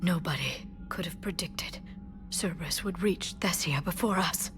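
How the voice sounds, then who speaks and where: A woman playing a gentle, sorrowful crew companion in a science fiction game, subdued and halting. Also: fairly low pitch, lightly acted. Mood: consoling, sorrowful. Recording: music behind the voice.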